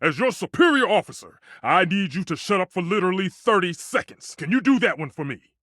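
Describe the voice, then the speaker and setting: Wildly swooping, hot-tempered chef. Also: male voice, high voice, very animated, fairly quick, a bit bright, no room sound, clean studio sound, full character voice. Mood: commanding. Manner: theatrical, volatile, emphatic. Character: temperamental, passionate male chef, a living kitchen stove. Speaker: head chef. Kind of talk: video game character acting.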